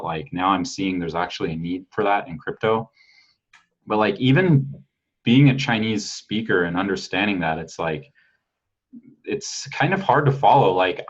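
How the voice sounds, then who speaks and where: A man with a very low, husky voice talks in a loose, rambling way, full of "like" and the occasional curse. The recording sounds dull and lo-fi. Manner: rambling, filler-heavy, frank with casual swearing. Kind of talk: casual podcast conversation.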